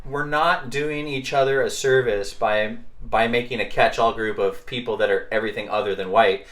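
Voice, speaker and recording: A man's voice: mid-range voice, steady tone, normal speed, a bit bright. Podcast host, male, casual talker with rhetorical questions and fillers. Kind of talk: casual discussion.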